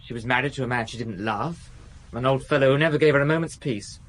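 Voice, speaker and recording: A man's voice: medium pitch, quick pace, a bit bright, close to an everyday voice, rough recording. An actor in his twenties playing an evasive young male drug addict in a radio drama, talking in a sullen, guarded way. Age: 20s.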